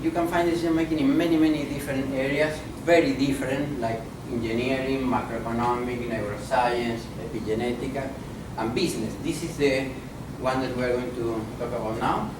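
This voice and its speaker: A man's voice: medium pitch, background noise. Male professor, far in an echoey room. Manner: deliberate, halting, many fillers. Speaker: visiting professor and speaker. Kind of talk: academic conference lecture. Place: conference room.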